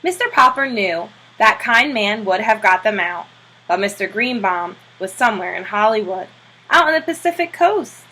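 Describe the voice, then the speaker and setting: A woman reading a children's book aloud in an expressive narrating style, like a teacher. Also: medium pitch, low-quality recording.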